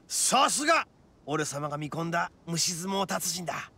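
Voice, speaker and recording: A man playing a brash, big-hearted young oni gang boss in a Japanese anime game, with rough-edged, blunt street-tough slang. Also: sits high, pushed and bright, full character voice. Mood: cocky, hearty.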